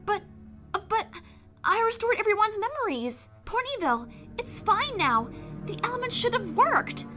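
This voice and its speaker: Woman acting a high-voiced, earnest young pony student. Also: sing-song, normal speed. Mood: agitated, insistent. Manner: earnest, questioning. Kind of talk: audio drama acting. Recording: music behind the voice.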